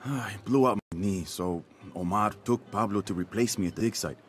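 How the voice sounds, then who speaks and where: Man playing a deep-voiced, earnest village father. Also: normal speed, close to an everyday voice. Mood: worried. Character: anxious, grateful working-class village father. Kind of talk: video game cutscene acting.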